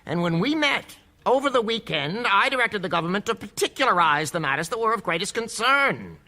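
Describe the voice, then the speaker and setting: A man's voice: high voice, very animated, bright, forceful tone, full character voice. An actor playing a firm, patient male judge who runs a courtroom hearing with authority. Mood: stern. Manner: authoritative, deliberate, emphatic. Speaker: judge presiding over a hearing.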